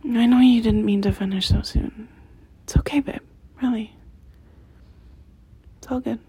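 A woman performing an intimate audio roleplay in a soft, close whisper. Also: on the high side, steady tone, measured pace, breathy edge, low-quality recording.

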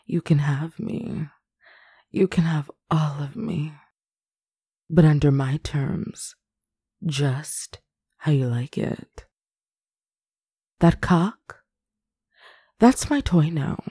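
A woman speaking an intimate roleplay in a teasing, commanding, sultry manner, close to the mic and often dropping into breathy whispers. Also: low-pitched, extremely slow, long pauses, mellow, no echo. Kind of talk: intimate adult roleplay audio.

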